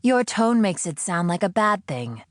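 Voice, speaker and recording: A woman's voice: medium pitch, sing-song, measured pace, dry recording, studio quality, pitched above the performer's own voice. A woman voicing a condescending, sanctimonious captor who interrogates a prisoner in an audiobook. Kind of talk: audiobook character acting.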